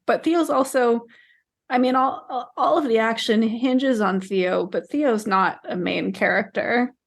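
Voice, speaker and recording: A woman co-hosting a podcast, discussing books casually in a measured, explanatory and conciliatory way. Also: fairly high pitch, vocal fry. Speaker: podcast co-host.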